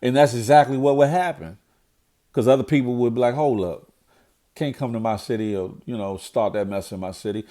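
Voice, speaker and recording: A man's voice: mid-range voice, a little fast, dark tone. Nasal, stuffy-sounding man giving blunt commentary. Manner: blunt, preachy, repeats himself when fired up. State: nasal from a cold. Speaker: vlogger commenting on current events. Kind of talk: casual commentary monologue.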